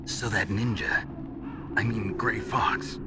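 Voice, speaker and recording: A gruff military hero from a dramatic video game. His low voice is clipped, probing and world-weary, every line hard-boiled. A clear musical score runs behind him. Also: fairly even intonation, slow, over loud music. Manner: terse, questioning, hard-boiled. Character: gruff, weary, skeptical male soldier hero.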